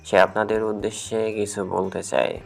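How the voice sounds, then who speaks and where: A man's voice: fairly low pitch, lively intonation, moderate pace, creaky, a little mellow, faint music behind. A man acting a fatherly older office manager in an audio story drama, speaking in an earnest, avuncular way. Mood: proud, formal.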